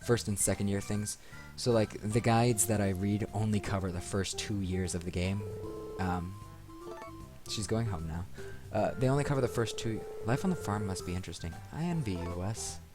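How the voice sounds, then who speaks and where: A man's voice: fairly low pitch, normal speed. A man giving casual, rambling commentary as he plays a video game, recorded on a desk microphone. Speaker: let's play host. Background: game music.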